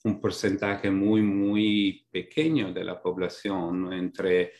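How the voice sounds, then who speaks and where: Thoughtful low-voiced migrant academic man. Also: fairly even intonation, a little mellow. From Europe. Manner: measured, thoughtful, many fillers and restarts. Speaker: academic guest, migration researcher. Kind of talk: interview answers, explanatory.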